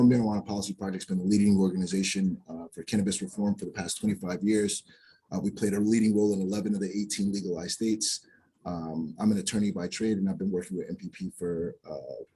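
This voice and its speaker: Guy testifying at a hearing, kinda low mellow voice, lots of ums. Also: slight background noise, low-quality recording. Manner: earnest, many filler words and restarts. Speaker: policy advocate testifying. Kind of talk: public testimony at a meeting. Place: public hearing.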